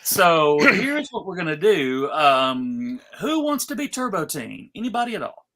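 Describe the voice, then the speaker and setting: Casual event host and narrator, very animated pitch, halting mock-grand announcements. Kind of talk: casual live event hosting.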